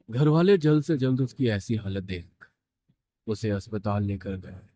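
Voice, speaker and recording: Mellow, low-voiced male horror narrator. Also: no room sound, studio quality. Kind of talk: scripted horror story narration.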